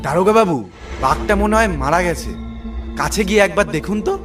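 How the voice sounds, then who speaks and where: A man's voice: sits high, expressive, brisk, far from the mic, over loud music. A man voicing an eager, jumpy armed assistant in a cartoon. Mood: excited. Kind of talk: cartoon voice acting.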